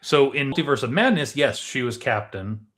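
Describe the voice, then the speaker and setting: A man's voice: mid-range voice, expressive, moderate pace, a bit bright. A man co-hosting a movie discussion show, talking casually and explaining his points to his co-host. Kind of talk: casual film theory discussion.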